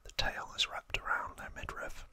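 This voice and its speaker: An ASMR host whispering trivia in a breathy, unvoiced voice, explaining hesitantly with soft pauses. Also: no room sound.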